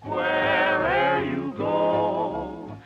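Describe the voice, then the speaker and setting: A man's voice: extremely high pitch, very animated, drawn-out words. A man singing in a 1950s radio advertisement. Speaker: singer in a cigarette jingle. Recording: dull old radio recording with music.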